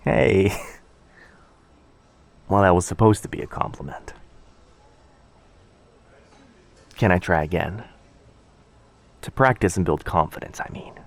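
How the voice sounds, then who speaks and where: Man acting shy young guy, low creaky voice. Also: moderate pace, pauses often. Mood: shy, hopeful. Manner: hesitant, soft-spoken, breathy, self-effacing. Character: shy bookish young man, gentle, nervous coffee shop stranger. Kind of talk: intimate boyfriend ASMR roleplay.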